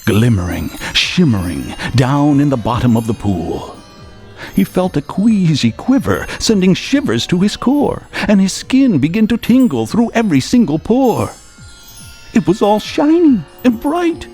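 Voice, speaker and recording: A man's voice: high voice, sing-song, a little slow, a little mellow, faint music behind. A man narrating a radio tale in a theatrical, rhyming beat-poet style, relishing every word. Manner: dramatic, relishing rhyme and alliteration.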